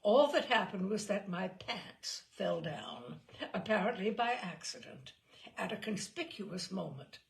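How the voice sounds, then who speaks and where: A woman reading a literary story aloud dramatically, with expressive, deliberate phrasing. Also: medium pitch, vocal fry. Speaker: host and reader of short stories.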